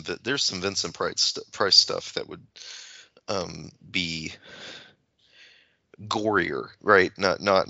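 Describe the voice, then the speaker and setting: Deep, very bright gravelly man. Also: no room sound, dull audio. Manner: halting, thoughtful. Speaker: podcast panelist. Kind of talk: casual analytical discussion.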